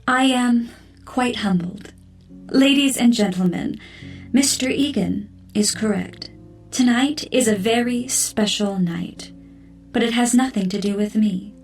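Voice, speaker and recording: Poised, slow-speaking female doctor. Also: a little high. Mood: poised, formal. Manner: deliberate, formal. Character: poised, gracious female doctor addressing a gala. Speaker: voice actor in an audio drama. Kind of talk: formal speech in audio drama. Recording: slightly distant mic, faint music.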